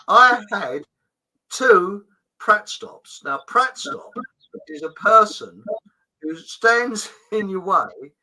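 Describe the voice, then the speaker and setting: A middle-aged man chats casually, laughing often as he rambles through stories. His voice is very bright and forward, and his pitch swings widely. He swears lightly and keeps the tone conversational. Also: expressive, extremely slow, long pauses, poor audio. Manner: rambling anecdotes, frequent laughs, mild swearing. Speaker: podcast host. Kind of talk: casual storytelling chat.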